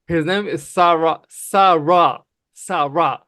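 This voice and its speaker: A man raised in Canada whose first language is Somali, arguing emphatically and quickly in a heated livestream debate, often calling the other person bro. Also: a little high, bright, forceful tone, no room sound, clean studio sound. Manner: emphatic, fast, calls people bro. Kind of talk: heated casual argument.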